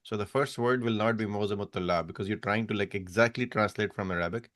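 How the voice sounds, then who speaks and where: A man's voice: lowish voice, fast, fairly bright tone. A man teaching an online language class, patiently correcting students one step at a time over a video call. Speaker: Arabic teacher.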